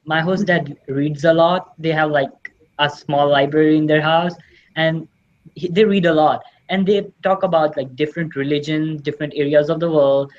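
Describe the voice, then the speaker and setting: A man's voice: a little high, fairly even intonation, moderate pace, dull audio. A teenage exchange student from Pakistan, Urdu his first language, answering interview questions earnestly and enthusiastically. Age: teens. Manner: earnest, enthusiastic, repeats "really" often.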